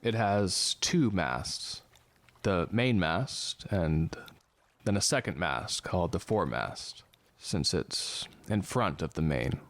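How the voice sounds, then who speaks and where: A literal-minded man of medicine in an audio drama. His voice is fairly low and very unhurried. He explains things plainly and didactically. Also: expressive, fairly bright tone, heavily acted. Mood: didactic, calm. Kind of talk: scripted audio drama acting.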